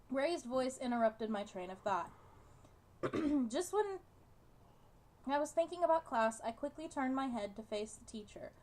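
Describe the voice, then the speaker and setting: A woman's voice: a little high, darkish tone. A woman casually reading a visual novel's narration aloud, now and then stumbling over the written words. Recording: noticeable background noise.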